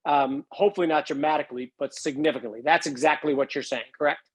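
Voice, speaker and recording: A man with a fairly high voice co-hosts a casual podcast interview, joking and asking blunt questions. He rambles a little and laughs along with the talk. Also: steady tone, fast talker, slightly rough. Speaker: podcast co-host.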